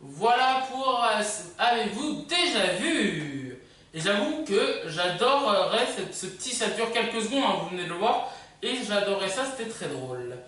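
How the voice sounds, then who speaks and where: A woman hosting a casual countdown video, presenting in a hesitant, drawn-out way. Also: mid-range voice, very animated, a bit bright. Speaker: video host. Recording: very echoey, distant from microphone.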